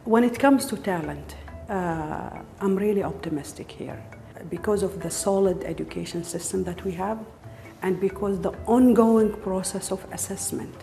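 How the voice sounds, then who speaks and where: A woman's voice: fairly low pitch, dark tone. A woman from Oman giving interview answers for a promotional film.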